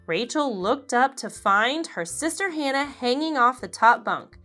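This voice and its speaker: A woman reading a children's picture book aloud, slowly and expressively. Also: high-pitched, faint music behind. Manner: slow, expressive, animated character voices. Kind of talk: read-aloud storybook narration.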